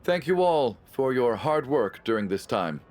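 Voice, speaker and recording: A man's voice: medium pitch, big pitch swings, a little slow, a little mellow, slight background noise. A man playing a weary but resolute factory director in a video game drama. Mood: resigned.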